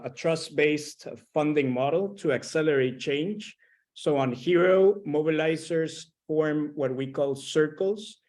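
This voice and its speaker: A man's voice: fairly high pitch, a little mellow. A man from Costa Rica, explaining an initiative as a guest panelist. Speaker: guest panelist, co-founder of a climate platform. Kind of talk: explanatory webinar presentation.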